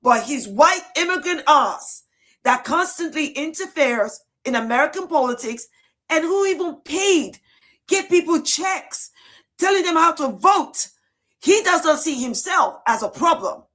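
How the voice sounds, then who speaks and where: A woman's voice: sits high, animated, unhurried, a bit bright. A woman giving indignant, cutting political commentary in a video.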